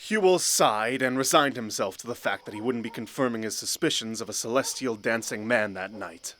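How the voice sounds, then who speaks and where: A man narrating a scripted fantasy audio drama in a measured, literary storytelling manner. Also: mid-range voice, fairly bright tone, a little hiss or hum.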